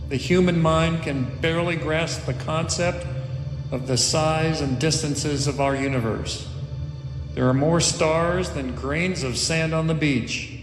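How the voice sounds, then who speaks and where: A man's voice: a little high, steady tone, a little slow, reverberant, in a large hall, music in the background, close to an everyday voice, poor audio. A man playing a planetarium show narrator, grand and full of wonder, in a film script table read. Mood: awed, grand. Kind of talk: documentary-style scripted narration in a film drama.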